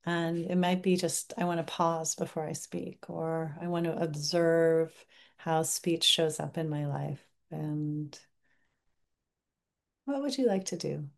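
A woman teaching a mindfulness class over a video call, leading a guided reflection in a gentle, unhurried way with frequent pauses. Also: low-pitched, vocal fry. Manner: gentle, unhurried, pausing often.

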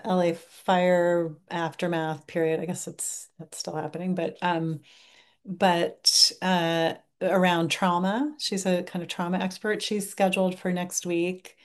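Gentle, low-voiced woman teacher. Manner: gentle, unhurried, pausing often. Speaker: mindfulness teacher. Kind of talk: guided reflection and online class wrap-up. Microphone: video call.

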